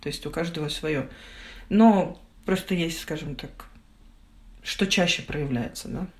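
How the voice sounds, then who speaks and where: A young woman giving a candid personal account as a podcast guest, speaking in halting, reflective phrases. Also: low voice.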